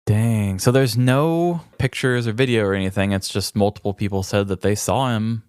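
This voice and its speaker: A man's voice: fairly low pitch, normal speed, a little mellow, smooth, no room sound, soft background music. An American man co-hosting a podcast, chatting casually with wry, laid-back humor. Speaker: podcast co-host. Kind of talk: casual chat.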